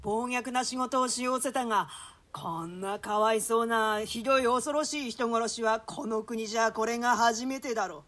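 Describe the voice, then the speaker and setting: Casting: female actor as rough male assassin with a conscience, high voice, comic-storyteller delivery of classical drama. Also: moderate pace, fairly bright tone, lightly acted. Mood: shaken, appalled. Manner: coarse colloquial speech, swinging into theatrical quoted voices. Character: rough male hired killer, shaken by pity and horror. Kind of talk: Shakespeare monologue in comic rakugo-like storytelling style.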